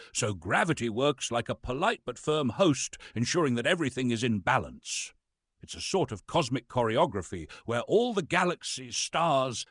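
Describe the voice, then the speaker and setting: A man's voice: medium pitch, a bit bright, slightly rough, no room sound, clean studio sound. A man playing a pompous, grumpy British Shakespearean actor who is posing as a lecturer in a comic monologue. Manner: pompous, irascible, declamatory.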